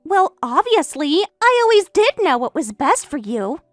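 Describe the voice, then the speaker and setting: A catty young home-wrecker with a very high, bouncy voice that swoops up and down as she taunts and brags, played by a woman. The sound is dry and close, and faint music plays under it. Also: big pitch swings, unhurried, no echo. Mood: boastful. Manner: taunting, boastful, indignant. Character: smug, catty young woman who stole her best friend's husband. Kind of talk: voiced story drama.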